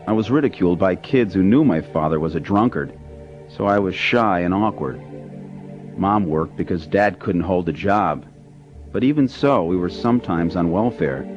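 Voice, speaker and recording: A man in a radio drama narrating his own story in a calm, measured way. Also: low voice. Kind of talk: scripted first-person narration. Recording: lo-fi radio with music under.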